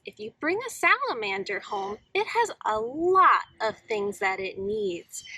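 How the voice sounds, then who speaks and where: A woman's voice: high voice, bright, forceful tone, poor audio. A woman storyteller reading and explaining things simply to young children in an instructive manner. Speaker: children's storyteller, museum educator.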